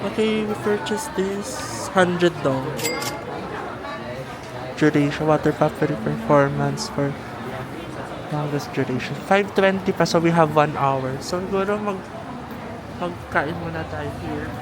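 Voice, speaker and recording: A man's voice: on the high side, slow, long pauses. A man with a Filipino accent chatting casually and playfully to camera as a travel vlogger. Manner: playful, jokey, informal. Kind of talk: casual chat to camera, mixing Tagalog and English. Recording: noisy city ambience, handheld vlog camera. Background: street and cafe noise, traffic.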